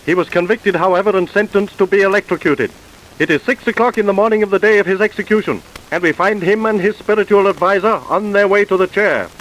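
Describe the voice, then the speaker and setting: A man's voice: sits high, fast talker, a bit bright, poor audio. A man narrating true crime stories in a scripted, dramatic old-time radio style.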